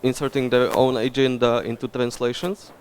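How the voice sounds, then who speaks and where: A man from the audience asking a halting, hesitant question. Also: mid-range voice, level tone, clear, resonant tone. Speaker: audience member. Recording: faint background noise.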